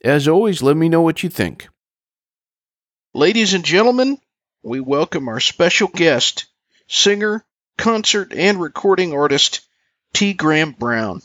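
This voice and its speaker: A man's voice: a little high, sing-song, measured pace, a bit bright, no room sound, studio quality. A man hosting an interview show, presenting his guest and putting questions to him. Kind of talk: scripted introduction and friendly interview questions.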